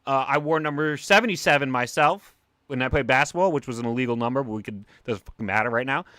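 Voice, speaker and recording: Excitable young New York man. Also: medium pitch, fast talker, no room sound, studio quality. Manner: excitable, animated, talks like a cartoon character. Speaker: comic collector streamer. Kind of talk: casual livestream chat.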